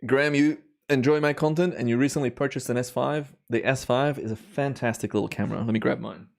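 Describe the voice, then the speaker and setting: A slightly hoarse young man from Belgium, chatting casually with viewers while reviewing his timelapse footage on a live stream. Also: mid-range voice, fast talker. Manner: relaxed, rambling, chatty with viewers. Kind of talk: relaxed live stream commentary.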